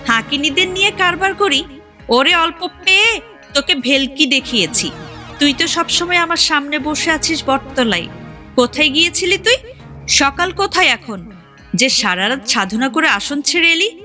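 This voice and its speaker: Audio drama role: fierce, mocking mad tantric woman, high-pitched and piercing, slow delivery over music. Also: sharp tone, full character voice. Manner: taunting, scornful, commanding. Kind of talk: Bengali audio drama acting.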